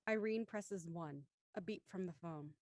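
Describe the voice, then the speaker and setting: A woman narrator reading scripted audio drama stage directions in a measured, descriptive manner. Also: on the low side, darkish tone, no echo.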